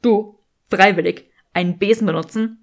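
A woman's voice: medium pitch, normal speed, close to an everyday voice. A woman voicing a smug, sardonic young male wizard with a dry, teasing manner, in an audiobook story. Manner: dry, teasing, self-satisfied.

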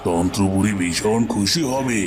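Man playing a deep-voiced gloating evil sorcerer. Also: background noise, lightly acted. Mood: mock pity, menace. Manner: menacing, drawn-out, mock-pitying. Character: gloating, scheming evil male tantric sorcerer. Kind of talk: dramatic cartoon voice acting. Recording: music bed under the voice.

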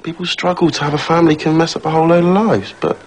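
A man's voice: a little high, fairly even intonation, fast talker, a little hiss or hum, close to an everyday voice. A man acting an earnest, apologetic young man in a television drama, with an English accent.

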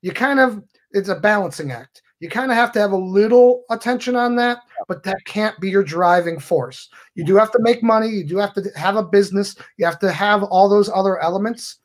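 A man's voice: high voice, a little fast, few pauses. A middle-aged man, a magician guest, talking in an expansive, philosophical way during a casual podcast chat over a video call. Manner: expansive, philosophical, gestures a lot.

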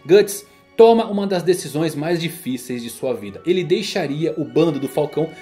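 A man's voice: on the high side, lively intonation, moderate pace, mellow. A man with a Brazilian accent giving a scripted, solemn and theatrical narration of a story. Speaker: YouTube anime and manga narrator. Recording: music under the voice. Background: background music.

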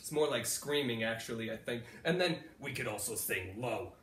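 Silly, animated male music teacher. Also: moderate pace, slight background noise. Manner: silly, animated, jumps into high squeals. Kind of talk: playful kids' music lesson. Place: living room couch. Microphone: far camera. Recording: roomy living room echo.

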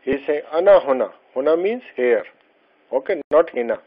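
A man teaching an Arabic textbook lesson, who is not a native speaker of Arabic, explaining slowly and patiently with frequent fillers and restarts. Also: fairly high pitch, lively intonation, breathy edge. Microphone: voice-over over slides. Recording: dull lo-fi voice-over.

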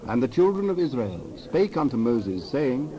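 A man narrating dramatized scripture in a measured, solemn manner. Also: mid-range voice, big pitch swings, background noise.

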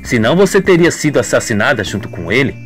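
Woman voicing a brisk, suspicious father. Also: very deep voice, sing-song, faint music behind. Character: blunt, suspicious middle-aged father.

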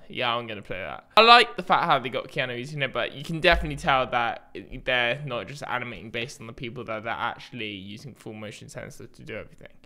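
A man's voice: medium pitch, sharp tone. A young man giving casual reaction commentary in a hesitant, rambling, repetitive way. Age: young adult. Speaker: video host reacting to a game trailer. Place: small panelled room at home. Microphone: desk microphone on a boom arm.

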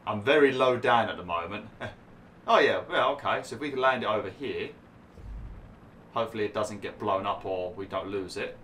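A man's voice: fairly low pitch, moderate pace, fairly bright tone, a little hiss or hum. A young man commentating over gameplay, chatty and grumbling, saying he is losing his voice. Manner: chatty, grumbling, laughs at himself. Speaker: gaming YouTuber.

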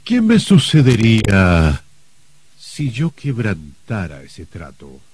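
A man's voice: on the low side, wildly swooping pitch, takes it slowly, a little mellow, slight background noise, exaggerated voice. A man acting an anxious, sardonic doomed novelist in a radio play, with a hesitant, dramatic delivery. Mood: desperate.

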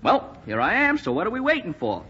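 An actor playing an eager, cocky young American bombardier from Texas in a 1940s radio drama. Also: male voice, high voice, huge pitch swings, brisk, pushed and bright, husky, heavily acted. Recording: muffled, hissy 1940s radio recording.

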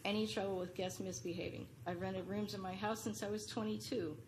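A woman's voice: mid-range voice, steady tone, fairly quick, background noise. A middle-aged woman reads an earnest, pleading prepared statement as a public commenter at a podium microphone in a large council chamber. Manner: earnest, pleading, read from notes.

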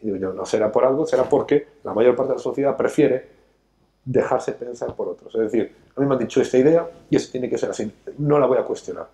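A man's voice: mid-range voice, fast, gravelly, mellow. Emphatic man lecturing on a sofa. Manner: emphatic, lecturing, gestures a lot while talking. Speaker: financial educator, show host. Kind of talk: studio talk show discussion. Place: studio set, seated on a sofa.

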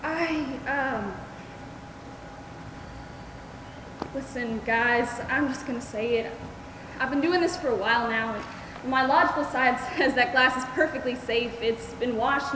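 A woman's voice: a little high, background noise, lightly acted. A woman on stage playing a kind, earnest mother who volunteers to care for patients, projecting her lines. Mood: earnest, anxious. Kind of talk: amateur stage play acting. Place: large theatre stage, indoors. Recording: echoey theatre hall, music over voice.

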